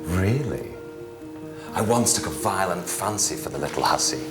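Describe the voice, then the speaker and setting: An actor playing a roguish, indiscreet English lord in period drama dialogue, arch and anecdotal. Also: male voice, low voice, animated, moderate pace, music in the background. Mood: arch, amused.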